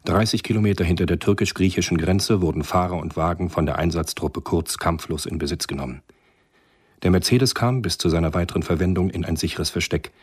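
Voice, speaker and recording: A male radio-play narrator with a low, extremely husky voice. He reads a scripted thriller in a measured, matter-of-fact tone, every phrase rough-edged.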